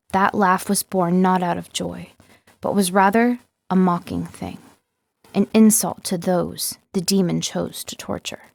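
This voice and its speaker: A woman reading scripted story narration as an audiobook narrator, with expressive, dramatic storytelling. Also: on the low side, gravelly, no room sound. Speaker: audiobook narrator, voice-over artist.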